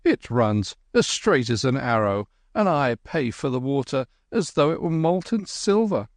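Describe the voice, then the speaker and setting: A man voicing a blustering, angry male market gardener who shouts at strangers. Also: mid-range voice, sing-song, a little slow, no echo, clean studio sound, voice pushed up.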